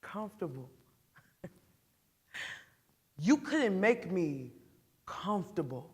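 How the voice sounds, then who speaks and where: A woman playing an embittered, wounded mother in a dramatic stage monologue, halting and defensive, throwing out pointed questions. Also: on the low side, very animated, a little mellow, slightly rough, heavily acted. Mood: tense, subdued. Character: embittered, wounded mother deciding her attacker's punishment.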